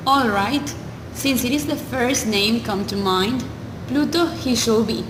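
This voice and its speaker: Heard through echoing, noisy reverb, a doting young wife speaks in a radio play acted by a woman. Her voice is high and slow, with a sweet, devoted temper. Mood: tender. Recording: noisy, echoey hall-like reverb.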